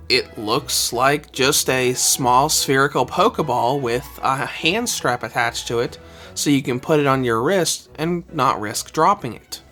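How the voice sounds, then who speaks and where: Guy reviewing a controller, kinda under the weather, pitch jumps all over, bit of music behind. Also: fairly bright tone. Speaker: game reviewer. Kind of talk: scripted product review narration.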